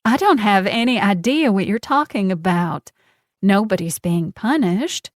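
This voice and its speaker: A woman's voice: a little high, expressive, dry recording, studio quality, voice pushed up. A woman voicing a sweetly vicious Southern woman with a honey-coated drawl, cooing and mocking. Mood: taunting. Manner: syrupy, taunting, drawn-out words.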